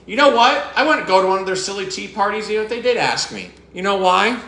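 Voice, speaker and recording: A man's voice: high-pitched, animated, fast, sharp tone, roomy sound, pitched above the performer's own voice, heavily acted. A man reading aloud as a grumpy, jealous male goblin who complains indignantly.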